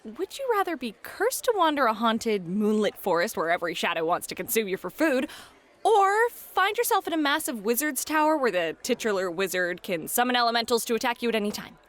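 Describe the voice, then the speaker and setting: A woman's voice: a little high, a little fast. A woman playing a playful, teasing fairy hostess and game master in a flirty ASMR roleplay, talking straight to the listener. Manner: flirty, teasing, excitable, addresses listener directly.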